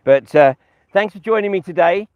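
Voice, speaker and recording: British man, higher-pitched, slightly muffled outdoors. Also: moderate pace, dry recording. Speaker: vlogger and political commentator. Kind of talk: informal political monologue to camera. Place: outdoors in a farm field by a public footpath.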